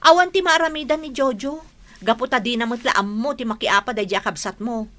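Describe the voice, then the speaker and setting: A woman's voice: on the high side, fairly quick, bright, forceful tone. A woman playing an emphatic, melodramatic wife in a radio drama, with an Ilocano accent. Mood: bossy, scheming. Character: bossy, greedy wife who turns frantic, then remorseful.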